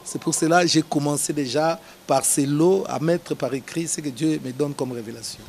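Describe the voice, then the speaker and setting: A man from Congo, a pastor answering interview questions in a measured, preacherly way, with filler pauses. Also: fairly high pitch, vocal fry, darkish tone, slight background noise. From Democratic Republic of the Congo.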